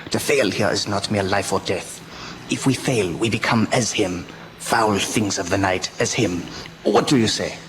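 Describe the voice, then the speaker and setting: A man's voice: fairly low pitch, unhurried, close to an everyday voice. A man playing a grave, commanding professor who hunts vampires in a radio drama, speaking in solemn oratory with stilted foreign phrasing. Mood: grave, rallying. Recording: noisy amateur local radio recording.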